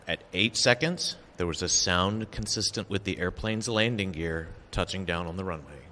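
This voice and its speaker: A low-pitched man delivers a formal official statement. He speaks in a measured, factual way, setting out each point deliberately. His voice carries a long reverberant echo, as in a hall. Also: bright, forceful tone, slight background noise. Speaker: official giving an investigation briefing. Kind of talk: formal prepared statement read at a press briefing.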